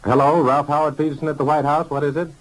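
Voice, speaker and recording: A man delivering a live 1940s network radio news bulletin with formal, deliberate announcing from a newsroom. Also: fairly high pitch, flat delivery, poor audio. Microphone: broadcast studio microphone.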